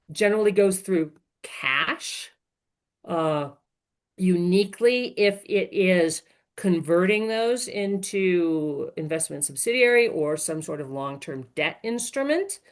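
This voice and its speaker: A woman giving an informal, explanatory webinar as a software trainer, phrasing things piece by piece and correcting herself as she goes. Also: fairly low pitch.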